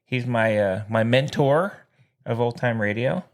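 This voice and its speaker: A man's voice: mid-range voice, measured pace. A man co-hosting a podcast in casual banter, exuberant and jokey, given to theatrical catchphrases. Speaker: podcast co-host.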